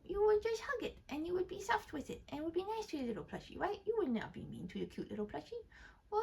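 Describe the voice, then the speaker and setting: A woman hosting a read-aloud, chatting casually in a gentle, rambling, expressive way. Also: extremely high pitch, vocal fry. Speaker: storyteller and channel host. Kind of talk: casual chatty commentary and story narration.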